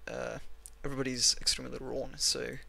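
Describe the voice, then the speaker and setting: Gravelly Russian-accented man, bright tone. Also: medium pitch, monotone, fairly quick. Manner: self-mocking, rambling, blunt. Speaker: trading analyst. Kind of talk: screen-recorded chart analysis.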